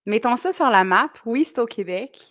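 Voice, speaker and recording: A woman's voice: a little high, animated, normal speed, a bit bright, dry recording, studio quality. Chatty French-born woman in her forties. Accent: French with Québécois traces. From southwestern France. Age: 40s. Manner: chatty, laughs easily. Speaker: guest. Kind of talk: casual interview chat. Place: small studio.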